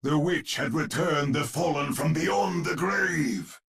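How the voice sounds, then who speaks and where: A man playing a grim, gravelly storyteller narrating a gothic horror tale in an ominous, theatrical manner for a game. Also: a little high, a bit bright, exaggerated voice. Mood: dramatic. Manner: ominous, dramatic, deliberate storyteller.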